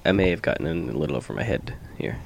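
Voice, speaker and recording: A man with a low, gravelly voice sits in as a first-time podcast guest. He talks hesitantly, with dry, self-deprecating asides. His delivery stays loose and casual. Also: very animated, rapid-fire, a little hiss or hum. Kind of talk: casual banter.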